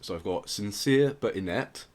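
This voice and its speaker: A man's voice: lowish voice, expressive, normal speed. A man hosting a podcast, explaining things in a casual, rambling way with plenty of hedging. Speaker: podcast host.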